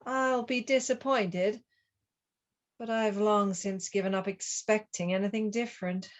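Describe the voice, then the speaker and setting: A woman's voice: medium pitch, steady tone, measured pace, natural voice. A British woman acting a devoted but disapproving genteel Victorian lady in a radio play, expressively. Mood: resigned, concerned.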